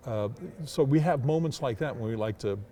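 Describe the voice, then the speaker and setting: An older man, a public address announcer, reflecting in an interview in a deliberate, hesitant way with formal wording. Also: mid-range voice, warm tone, a little hiss or hum.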